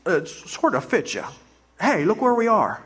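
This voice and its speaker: A man's voice: high voice, sing-song, a little slow, fairly bright tone, husky, full character voice. An actor playing an eager, talkative young man full of big plans in a live radio play. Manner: eager, rambling, boyish.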